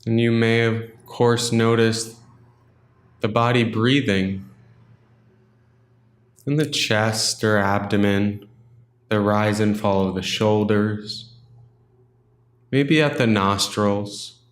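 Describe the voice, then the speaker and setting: Low-voiced man guiding meditation, roomy echo. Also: steady tone. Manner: gentle, unhurried, instructional with long pauses. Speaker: meditation guide. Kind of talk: guided meditation.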